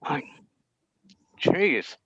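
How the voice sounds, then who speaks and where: A man's voice: very slow, pushed and bright, slight background noise. A man presenting in a casual, informal, musing way over a video call. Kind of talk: casual chat. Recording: dull video call audio.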